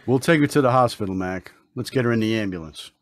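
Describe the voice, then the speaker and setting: A man playing a steady, solemn, dutiful ambulance partner in an old-time radio drama, speaking gravely and insistently. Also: medium pitch, quick pace, natural voice.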